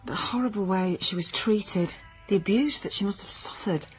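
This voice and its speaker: A woman's voice: mid-range voice, normal speed, slightly rough, soft background music, close to an everyday voice, low-quality recording. Actress as troubled, stubborn young career woman. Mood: troubled, earnest. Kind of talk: naturalistic radio drama acting.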